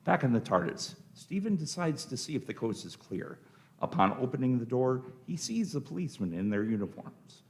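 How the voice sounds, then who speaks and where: A man narrates a radio play from a script. His voice is mid-pitched with a gravelly creak and lively intonation. He sets out the action scene by scene. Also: normal speed.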